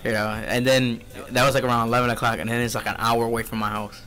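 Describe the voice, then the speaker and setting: A man's voice: medium pitch, little pitch movement, fast, pushed and bright, soft background music. A teenage man hosting a youth radio show, chatting casually with lots of fillers and laughter. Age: teens.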